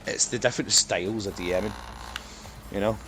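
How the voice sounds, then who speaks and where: A man's voice: on the low side, steady tone, a little fast. A man chatting casually with friends while gaming, in a rambling, self-deprecating way. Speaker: podcast guest, dungeon master. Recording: clearly noisy background.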